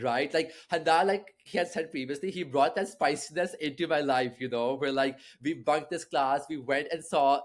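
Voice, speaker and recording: A young man hosts a podcast in a friendly, chatty tone, full of casual fillers. His voice sits a little high. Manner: friendly, chatty, many likes. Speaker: podcast host.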